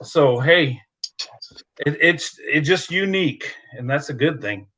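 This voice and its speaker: A man hosts a live stream in easygoing chat, reassuring his guest and laughing often. His voice is bright and fairly high, and his talk is full of "uh" fillers. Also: lively intonation, measured pace.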